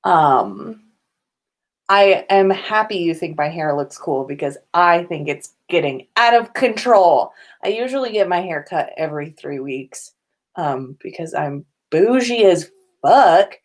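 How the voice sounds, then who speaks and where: A woman's voice: lowish voice, expressive, measured pace. A woman hosting a casual livestream from indoors at home, chatting informally with viewers. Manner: informal, chatty, sprinkled with swearing and giggles. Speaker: podcast host on a livestream.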